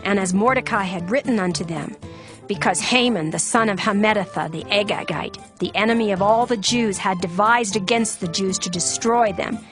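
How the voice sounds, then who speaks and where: A woman narrates scripture as formal drama, in a solemn storytelling manner. Her voice sits at a medium pitch, with music playing beneath it. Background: background music.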